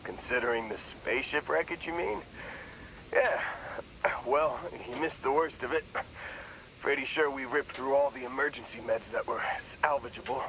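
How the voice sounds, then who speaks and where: An actor playing a groggy young space miner in a video game, talking in a wry, rambling, hesitant way. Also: male voice, mid-range voice, normal speed, sharp tone, a little hiss or hum, poor audio. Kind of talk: video game character dialogue.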